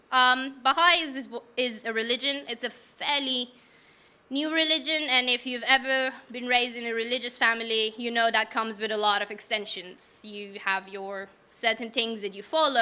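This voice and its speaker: A woman's voice: fairly high pitch, pushed and bright. A young woman from Iran telling her personal story to a live audience in a frank, wry, casual way, asking the room questions.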